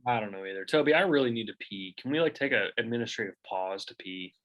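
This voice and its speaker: Man, low-ish voice, bright and lively, deadpan teasing co-host. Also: fast talker, dull audio. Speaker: podcast co-host. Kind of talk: casual chat.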